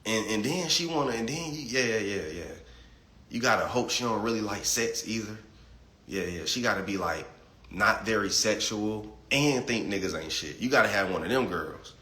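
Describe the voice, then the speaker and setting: A man's voice: fairly low pitch, expressive, moderate pace, bright, forceful tone, reverberant. A man in his late twenties talking bluntly and profanely on a casual livestream. He reads chat comments and often repeats words as he starts a thought. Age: 20s. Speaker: livestream host reading chat.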